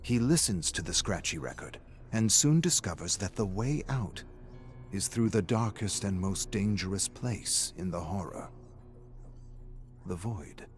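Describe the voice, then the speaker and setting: Male narrator, very low register, measured scripted storytelling of journal entries, music bed behind. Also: expressive. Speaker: reader of game lore entries.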